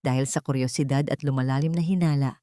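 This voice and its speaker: Woman narrator, low voice, dry close sound, measured dramatic storytelling. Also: steady tone, dark tone, no room sound. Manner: measured and dramatic, with rises on tense moments.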